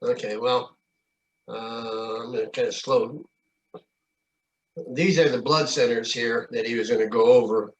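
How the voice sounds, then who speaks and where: An American man informally walking through a shared screen on a video call, halting and folksy as he goes. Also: medium pitch, steady tone. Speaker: club meeting co-presenter. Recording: dull video-call audio.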